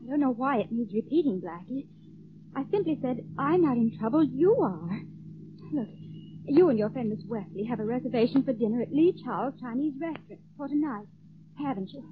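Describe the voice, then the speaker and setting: Woman acting spirited young lady in old radio mystery, high voice, insistent, muffled and noisy. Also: animated, natural voice. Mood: tart. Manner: insistent, quick to retort. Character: urgent, spirited young woman warning a detective. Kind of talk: 1940s radio detective drama acting.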